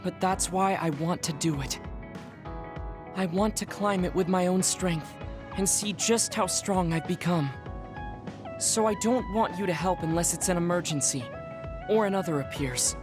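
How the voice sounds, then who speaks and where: An actor playing a serious, formal young man in a game cutscene, speaking in a measured, polite way. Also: sits high, natural voice. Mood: resolute. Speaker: game character. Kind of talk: dubbed game cutscene dialogue. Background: game music.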